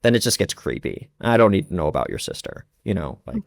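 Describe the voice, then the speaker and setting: Blunt, animated man, dry close mic. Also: sits low, fast, gravelly, dry recording. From not from the Czech Republic. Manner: blunt, animated, heavy filler "like". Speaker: guest. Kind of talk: casual podcast chat. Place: lives in Prague.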